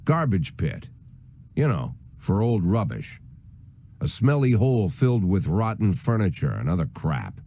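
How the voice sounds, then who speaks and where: An actor playing an aging, hard-bitten police chief in a video game, commanding, sardonic and threatening. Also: male voice, low-pitched, sing-song, measured pace, warm tone, dry recording, heavily acted. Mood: cold, menacing. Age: older. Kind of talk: dramatic video game voice acting.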